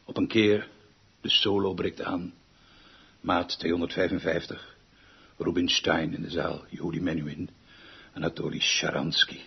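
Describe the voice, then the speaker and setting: A man's voice: deep voice, level tone, unhurried, creaky, pauses often, slightly rough, heavily acted. A man in a radio drama playing a pompous, obsessive music director who declaims grandly and repeats words for emphasis. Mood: wistful, brooding. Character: pompous, obsessive, theatrical male music director, haunted by lost half-sister. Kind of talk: theatrical radio drama acting. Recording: dull lo-fi radio recording with music.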